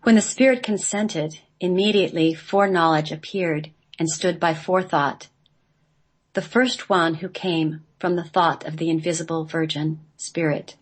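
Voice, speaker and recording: A woman's voice: fairly low pitch, fairly even intonation, normal speed, husky. A woman reading a scripted sacred text as part of a radio play.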